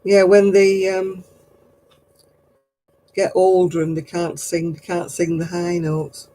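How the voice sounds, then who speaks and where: An older woman chatting casually on her craft livestream, answering viewers in a low, husky voice and a rambling, gossipy way. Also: monotone, slow, dark tone, poor audio. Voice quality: low, husky voice after throat surgery.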